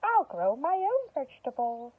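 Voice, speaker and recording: Woman voicing Winnie, an excitable, high-pitched storybook witch. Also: moderate pace, phone-quality sound. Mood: determined. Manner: exclaiming, dramatic.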